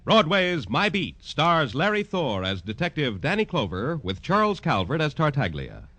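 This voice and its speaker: A man's voice: fairly high pitch, huge pitch swings, moderate pace. A man working as a network radio announcer, reading credits and promotions in polished 1950s style on an old broadcast recording. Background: loud orchestral music.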